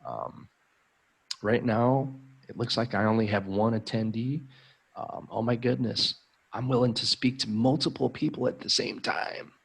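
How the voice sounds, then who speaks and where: A man's voice: medium pitch, fairly even intonation, a little slow, gravelly, husky. An American man hosting a live stream as an English tutor, chatting in a friendly, upbeat way with frequent ums. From United States. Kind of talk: casual live-stream chat.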